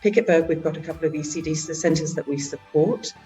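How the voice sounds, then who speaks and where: A woman who heads a charity, presenting at a virtual annual meeting in a halting way, with frequent filler sounds. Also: sits low, dark tone, soft background music. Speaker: head of a charity organisation.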